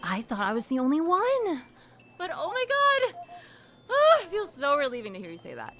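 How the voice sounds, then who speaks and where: An actress playing a young, people-pleasing hustler in a scripted podcast comedy, with an animated, rambling, sardonic way of talking. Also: female voice, high-pitched, normal speed, full character voice. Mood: chatty, relieved. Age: young adult. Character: young, hustling, people-pleasing woman, sharp-tongued and desperate.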